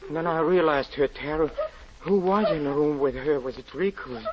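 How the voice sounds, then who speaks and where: An actor playing a haunted, grieving European husband confessing a tragedy, halting, stammering and pleading in theatrical 1940s radio drama style. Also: male voice, fairly high pitch, a crowd in the background, natural voice. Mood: frantic, pleading. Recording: muffled, noisy old radio recording.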